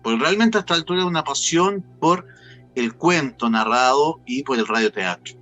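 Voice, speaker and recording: A man's voice: medium pitch, expressive, moderate pace, a bit bright, lo-fi sound, soft background music. A man with a Chilean accent hosting a conversational online radio interview in a playful manner. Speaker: host and interviewer.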